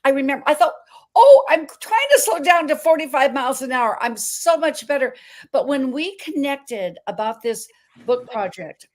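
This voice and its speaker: A woman hosts an online interview show in a fairly high voice. She talks effusively and gushes, and she often restarts her sentences as she addresses her audience directly. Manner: effusive, gushing, quick with restarts. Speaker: show host. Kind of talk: casual interview chat.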